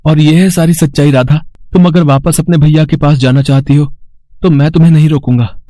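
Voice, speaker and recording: Man playing a humble, pleading young beggar, high-pitched. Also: fairly even intonation, fairly quick, warm tone, no echo, natural voice. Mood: earnest. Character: poor, honest young beggar man with sick mother.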